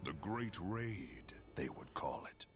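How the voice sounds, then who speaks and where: Super deep ominous viking saga narrator, slow n hushed, solemn. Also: male voice, very animated, full character voice. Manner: solemn, portentous. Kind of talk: scripted dramatic game narration.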